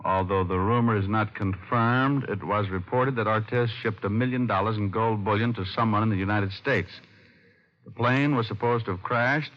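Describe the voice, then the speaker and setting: Hardboiled radio gumshoe, played by a man, lowish voice, shrewd, wry, assured, on a dull vintage recording. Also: lightly acted. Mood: excited deduction. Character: shrewd, confident hardboiled male private detective. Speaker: private detective. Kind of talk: old-time radio drama acting and narration.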